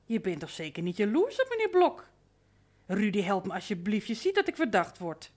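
Coaxing maid in a radio play, intimate, clingy, lilting up and down. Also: female voice, medium pitch, wildly swooping pitch, moderate pace, a little mellow. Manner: cajoling, intimate. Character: coaxing, familiar, possessive female housemaid. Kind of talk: radio drama acting.

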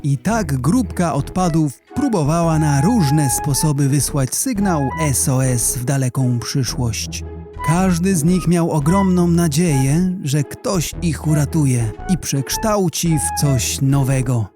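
A man's voice: a little high, slow pace, warm tone. A man narrating a scripted children's story as storyteller of an audio drama. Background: music.

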